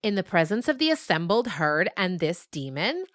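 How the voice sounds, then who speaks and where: A woman's voice: fairly low pitch, big pitch swings, normal speed, a bit bright, no echo, clean studio sound. A woman voicing a formal, solemn young female goat.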